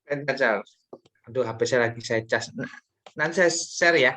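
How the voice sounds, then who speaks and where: A man's voice: medium pitch, moderate pace, bright, forceful tone. A man teaching an online class, speaking casually and guiding students with rhetorical questions. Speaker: lecturer. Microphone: video call.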